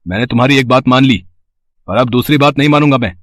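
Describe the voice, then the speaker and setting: A man voicing a gruff, short-tempered village bird-catcher in a moral-story cartoon, blunt and grudging with his wife. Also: mid-range voice, fast talker, no room sound, clean studio sound, lightly acted.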